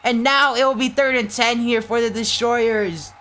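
A tired young man doing casual, rambling play-by-play commentary for an online game league, getting excited on big plays. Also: very high-pitched, moderate pace, a little hiss or hum.

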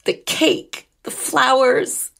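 A woman's voice: sits high, fairly even intonation, takes it slowly, gravelly, fairly bright tone, heavily acted. A woman playing a wry, wistful young American bride in a naturalistic audio drama. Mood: wistful, upset.

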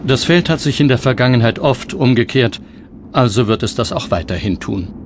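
A man's voice: mid-range voice, animated, normal speed, noisy background. A man reading scripted documentary narration as the narrator. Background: background music.

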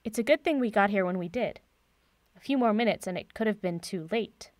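Woman playing a brisk female professor, close and dry. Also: mid-range voice, expressive, a little mellow, no echo, lightly acted. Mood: firm. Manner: brisk, wry, decisive. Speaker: voice actor in audio drama. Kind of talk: naturalistic audio drama.

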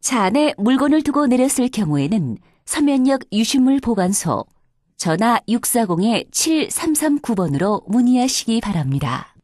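High, lilting female subway announcer. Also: big pitch swings, moderate pace, a little mellow, dry recording, clean studio sound. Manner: polite, carefully enunciated. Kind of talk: formal scripted transit announcement.

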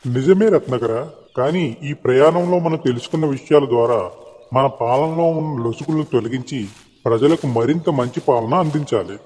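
A man playing a proud, hot-tempered king with a formal, commanding manner in an animated moral story. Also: mid-range voice, normal speed, close to an everyday voice. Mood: stately. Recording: long hall-like reverb, music behind.

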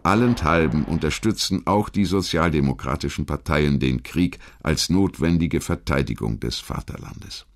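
Ein Mann, der als Erzähler einen vorbereiteten historischen Text vorträgt. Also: male voice, a bass voice, animated, normal speed, few pauses. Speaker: documentary narrator. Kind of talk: scripted historical narration.

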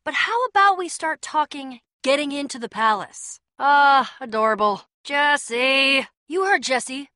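Feisty, sarcastic young female game hero, bright and high, casual teasing delivery, dry close sound. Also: animated, unhurried, few pauses, dry recording, full character voice. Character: Petra, feisty, sarcastic, brave young woman adventurer. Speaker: video game voice actor. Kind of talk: animated game dialogue.

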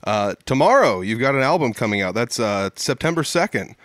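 A man's voice: mid-range voice, big pitch swings, fairly quick, fairly bright tone, no room sound, studio quality. A young man hosting a casual podcast interview, asking easygoing, chatty questions over a video call. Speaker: podcast host. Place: home room. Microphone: studio mic on a boom, headphones on.

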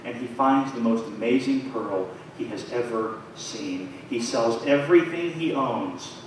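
A man in his thirties preaching a sermon in a small church, his phrases rising and falling in a measured way. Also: medium pitch. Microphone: far camera, pulpit mic. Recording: very echoey church from far off, faint noise.

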